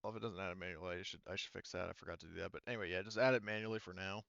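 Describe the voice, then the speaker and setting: Guy running a tabletop rpg, casual and halting, clear voice, pretty dry audio. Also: low-pitched, steady tone, a bit bright, dry recording, highs cut off. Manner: halting, informal. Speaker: game master. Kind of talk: casual improvised narration of a tabletop game.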